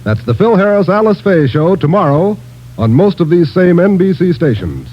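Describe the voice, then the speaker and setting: A man's voice: sits high, big pitch swings, normal speed. A man working as a radio announcer with a polished 1950s broadcast delivery. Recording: dull old radio broadcast with music.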